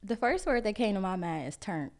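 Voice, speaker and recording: Woman, 24, podcast guest; upbeat, emphatic, mid pitch. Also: animated, a little fast, darkish tone. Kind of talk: casual podcast chat.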